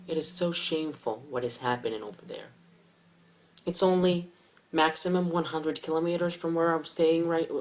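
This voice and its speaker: A man from Israel giving an impassioned statement to camera, speaking in short, emphatic phrases with deliberate pauses. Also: fairly high pitch, rough recording. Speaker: video commentator.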